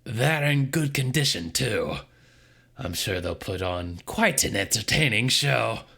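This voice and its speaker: A man voicing a smug, commanding bandit leader with a coarse voice and slow, menacing delivery in a story reading. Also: lively intonation, gravelly, sharp tone, slightly rough, exaggerated voice. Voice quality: coarse, booming.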